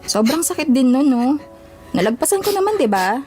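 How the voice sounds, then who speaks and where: A woman with a Filipino accent acting a sassy, frank young best friend in an audio drama, slangy and expressive. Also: high voice, moderate pace, soft background music. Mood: comforting.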